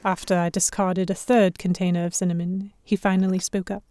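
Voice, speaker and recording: A woman playing a restless, observant young heroine, narrating a pirate story in the first person in a measured, literary manner. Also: lowish voice, level tone, dark tone, natural voice. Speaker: narrator and lead actor.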